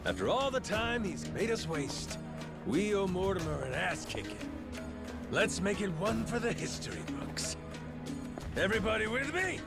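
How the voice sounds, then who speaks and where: A brash yakuza pirate captain in a game, high-voiced and swinging widely in pitch. He is rousing and full of swagger, over loud music. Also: normal speed, vocal fry, exaggerated voice. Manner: swaggering, animated. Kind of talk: dramatic video game dialogue.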